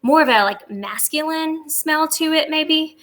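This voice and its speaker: Bright female voice, casual interview guest. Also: high voice, animated, unhurried. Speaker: podcast guest, travel agent. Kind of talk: conversational interview answers.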